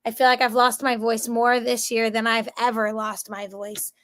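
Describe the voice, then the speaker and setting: A woman's voice: fairly high pitch, level tone, moderate pace, fairly bright tone. An American woman teaching an online class in a casual, bubbly, rambling way, her voice strained by a cold. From central Florida, United States. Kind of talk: casual chatty live lesson.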